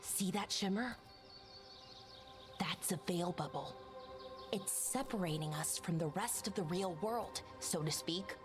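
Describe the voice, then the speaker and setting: A woman playing an eager, excitable young elf researcher in a video game, slightly scattered and self-correcting. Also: on the low side, unhurried, long pauses, natural voice. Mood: earnest explaining. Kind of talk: video game character acting. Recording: music behind the voice.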